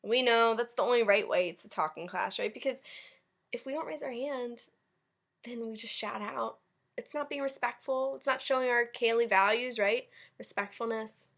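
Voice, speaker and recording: A woman's voice: a little high, moderate pace, vocal fry, fairly bright tone. A woman teacher leading a read-aloud storytime, chatting directly to young children and commenting as she goes. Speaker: elementary school teacher.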